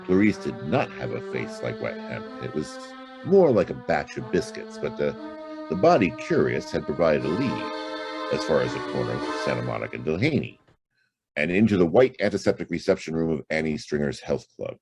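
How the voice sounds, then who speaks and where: An actor playing a wisecracking, flirtatious private detective in a hard-boiled audio drama, wry in both narration and dialogue. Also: male voice, extremely low pitch, big pitch swings, brisk, music in the background, exaggerated voice. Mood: wry, narrating. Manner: wry, drawling asides with flirtatious endearments.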